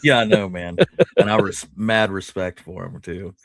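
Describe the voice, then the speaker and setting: A man's voice: sits low, fairly even intonation, moderate pace. A man hosting a casual conversation, speaking informally with loose, conversational phrasing. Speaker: host. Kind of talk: casual interview chat.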